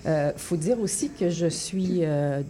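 A woman's voice: sits low, takes it slowly, warm tone, slight background noise. A woman from Quebec, a poet guest in a radio interview, talking hesitantly with many fillers and restarts. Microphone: studio microphone.

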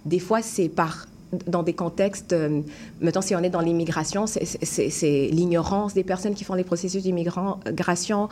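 Woman, young adult, non-Québécois French accent, lowish voice, hesitant, thoughtful. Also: moderate pace, darkish tone, a little hiss or hum. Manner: thoughtful, hesitant, many restarts and fillers. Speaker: actress and playwright guest. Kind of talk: casual interview chat.

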